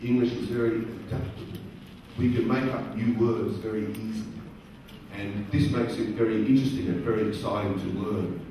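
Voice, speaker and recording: A middle-aged man speaking to a live audience at a career event, using slow, simple, repeated phrasing and asking the crowd questions. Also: fairly low pitch, fairly even intonation, warm tone. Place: large conference hall. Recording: very echoey hall, distant voice, faint noise.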